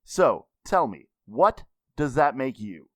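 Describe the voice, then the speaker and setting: A man's voice: mid-range voice, sing-song, slow, fairly bright tone, dry recording. A man voicing a cocky anime mentor who lectures bluntly and mockingly. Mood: scolding. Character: cocky, scolding adult anime mentor, a self-declared commoner.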